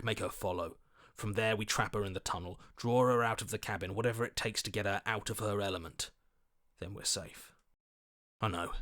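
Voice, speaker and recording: A suspicious male strategist from a video game, with a fairly low, crisp-edged voice. He is calculating and insistent, questioning things deliberately, recorded very dry. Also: pushed and bright, no echo. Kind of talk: dramatic video game voice acting.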